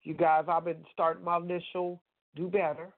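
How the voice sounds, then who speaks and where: A woman's voice: lowish voice, little pitch movement, moderate pace, dry recording. Stuffy-voiced woman testifying over phone. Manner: emphatic testifying, talks straight to listeners. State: stuffy nose. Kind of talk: faith talk radio chat. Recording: dry phone-line sound.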